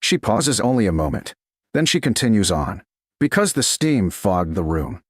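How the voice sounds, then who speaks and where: A man reading a romance story in a slow, measured narration style. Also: fairly low pitch, big pitch swings, slightly rough, no room sound, clean studio sound.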